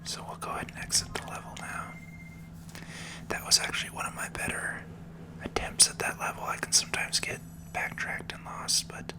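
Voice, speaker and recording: A person whispering gentle, unhurried ASMR commentary while playing a video game. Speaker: gaming video host.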